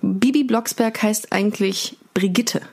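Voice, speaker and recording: A woman's voice: mid-range voice, measured pace, darkish tone, husky. A woman hosts a casual, comedic podcast with a mid-range voice. She talks in a tongue-in-cheek way, as if chatting with a friend. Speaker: podcast host.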